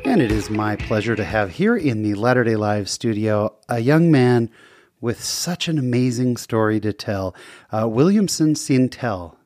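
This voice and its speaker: A man's voice: mid-range voice, expressive, measured pace, a little mellow, soft background music. A man hosting a podcast interview in a small studio, upbeat and enthusiastic. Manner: upbeat, enthusiastic, with frequent uh fillers. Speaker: podcast host.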